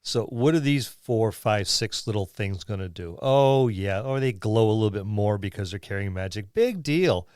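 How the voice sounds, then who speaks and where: A man's voice: on the low side, animated, moderate pace, few pauses, a little mellow, no room sound, clean studio sound. A man co-hosting a podcast, casually discussing tabletop gaming and explaining in a vivid, descriptive way. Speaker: podcast co-host.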